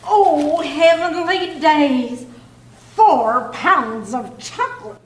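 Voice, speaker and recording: Woman acting fond teasing housewife, high voice, animated intonation, old radio comedy style, echoey live room. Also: drawn-out words, exaggerated voice. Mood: delighted, affectionate. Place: community clubhouse stage performance.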